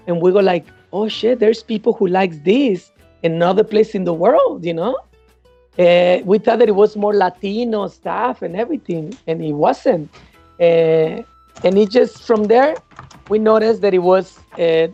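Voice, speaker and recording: A woman interviewed as a salsa dancer, telling stories animatedly and acting out old conversations. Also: medium pitch. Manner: animated, acts out past dialogue and counts. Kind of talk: casual storytelling in an interview. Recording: dull lo-fi sound with faint music.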